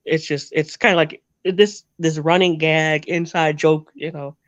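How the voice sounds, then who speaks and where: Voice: medium pitch, fairly even intonation, unhurried, fairly bright tone, dry recording. An interview guest from New Jersey with a half-Northern, half-Southern American accent, telling rambling stories in a chatty way with frequent laughs. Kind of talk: casual storytelling chat.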